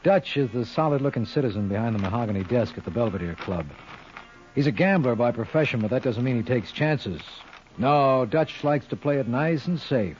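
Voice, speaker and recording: A man's voice: medium pitch, expressive, brisk, darkish tone, natural voice. A man playing a sardonic, wisecracking lawyer who narrates his own case in a 1940s radio mystery. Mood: wry. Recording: dull old radio recording, music behind.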